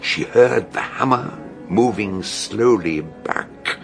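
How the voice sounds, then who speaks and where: An actor with a British accent playing a shrewd older detective doctor in a radio drama, telling his deductions with emphatic, dramatic pauses. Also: fairly low pitch, creaky, over loud music. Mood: relishing, dramatic. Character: shrewd, theatrical older male detective doctor explaining a murder.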